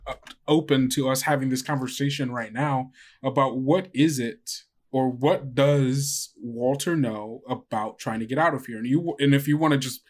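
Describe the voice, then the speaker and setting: Game master thinking aloud at middling pitch. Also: normal speed. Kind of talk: casual tabletop game chat.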